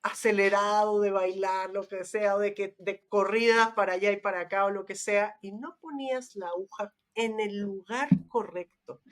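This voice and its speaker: A middle-aged woman in casual, nostalgic conversation as a podcast co-host, animated as she tells stories. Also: medium pitch, normal speed, few pauses. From Chile. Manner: animated storytelling, laughs often.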